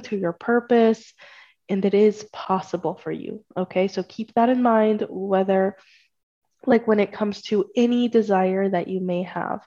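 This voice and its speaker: A woman's voice: mid-range voice, dark tone. A woman hosting her own podcast, talking casually while stuffy and congested from a cold, often checking in with "right?" and "okay?". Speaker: podcast host and spiritual life coach. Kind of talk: casual solo podcast talk. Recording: dull lo-fi sound.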